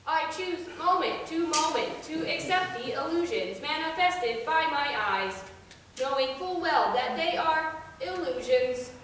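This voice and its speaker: A serene oracle acted by a woman declaims solemnly in a high voice. The words come as a deliberate incantation in a very echoey theater, picked up from far off. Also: expressive, noisy background. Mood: solemn, mystical. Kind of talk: stage monologue. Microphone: far camera.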